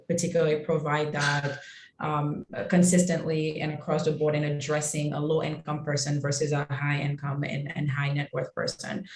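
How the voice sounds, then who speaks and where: A woman from Haiti with a very low voice speaks in a measured, halting way, often restarting her sentences. She is a founder on a webinar panel. Her voice reaches the call mic through a noticeably echoey room. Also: darkish tone. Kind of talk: panel discussion answer. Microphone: webinar call microphone. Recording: roomy echo on a video call.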